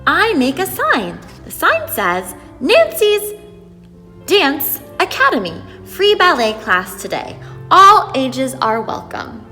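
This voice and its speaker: A woman's voice: sits high, wildly swooping pitch, slow, bright, forceful tone, pitched above the performer's own voice, heavily acted. A woman reading a storybook as an exuberant, proud little girl, speaking in a theatrical, emphatic way. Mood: proud, excited. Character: exuberant, proud little girl who loves fancy words. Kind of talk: storybook read-aloud. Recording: echoey hall, music behind.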